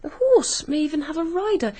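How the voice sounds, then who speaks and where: An actress playing a self-assured young English art restorer in a radio drama. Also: female voice, high voice, sing-song, brisk, warm tone.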